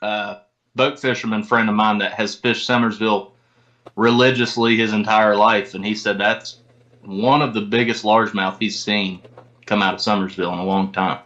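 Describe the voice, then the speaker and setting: A man's voice: on the low side, steady tone, moderate pace, fairly bright tone, highs cut off. A man talking casually as an interview guest, with informal, folksy phrasing. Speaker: podcast guest, tournament kayak angler.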